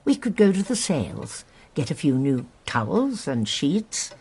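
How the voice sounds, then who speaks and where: An actress playing a shrewd, determined elderly English lady sleuth in a radio drama, genteel, precise and gently commanding. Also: sits low, sing-song, measured pace, husky, heavily acted. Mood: shrewd, brisk. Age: older.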